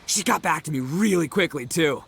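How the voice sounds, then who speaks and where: A man voicing a boastful, excitable young fishing enthusiast in game dialogue. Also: on the high side, moderate pace, vocal fry, a little hiss or hum.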